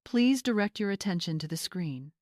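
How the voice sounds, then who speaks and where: A woman's voice: mid-range voice, lively intonation, normal speed, darkish tone, no echo, natural voice. Woman acting a dry, formal male lawyer.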